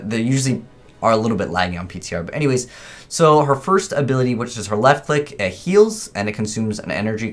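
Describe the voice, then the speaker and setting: A man's voice: fairly low pitch, a bit bright. A man hosting a gaming video with casual, informal commentary, rambling a little as he thinks aloud.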